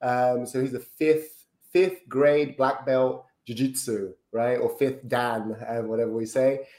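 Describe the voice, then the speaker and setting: Brazilian-born man, relaxed guest, roomy echoey sound. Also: medium pitch, measured pace. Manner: relaxed, chatty, many ums and tag questions. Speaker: podcast guest. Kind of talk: casual interview chat. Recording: roomy echo.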